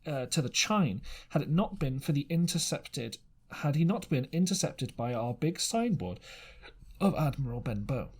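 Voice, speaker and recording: Husky male storybook narrator, slightly halting. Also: medium pitch, darkish tone. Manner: halting reading with misreadings and corrections. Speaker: amateur audiobook reader. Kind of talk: scripted storybook narration of an adventure novel.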